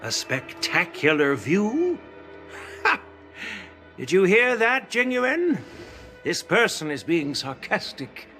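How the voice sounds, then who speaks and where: An actor playing an older, stern male general who lectures slowly and with measured weight. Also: soft background music, exaggerated voice. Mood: probing. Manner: measured, lecturing, lively pitch. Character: stern old male general, wise and commanding. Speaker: veteran general. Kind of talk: game voice acting.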